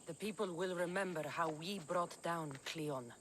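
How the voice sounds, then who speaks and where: A woman's voice: lowish voice, measured pace, a little hiss or hum, natural voice. An actress playing a battle-worn, loyal female mercenary in a video game, speaking tersely and sincerely. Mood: resolute. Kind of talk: dramatic game cutscene dialogue.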